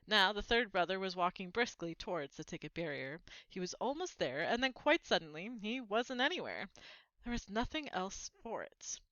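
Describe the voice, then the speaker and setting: A woman narrates a children's book aloud with expression. Her voice is bright and forward at a middling pitch. It is recorded close and dry, with slightly dulled sound. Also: very animated, normal speed, dry recording, highs cut off.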